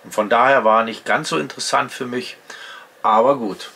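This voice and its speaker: A man's voice: mid-range voice, steady tone, moderate pace, gravelly, pushed and bright. A man in his fifties hosting a casual gear vlog from his home workshop, chatting to viewers and explaining each step as he shows it. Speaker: outdoor gear channel host. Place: indoor home workshop at a workbench.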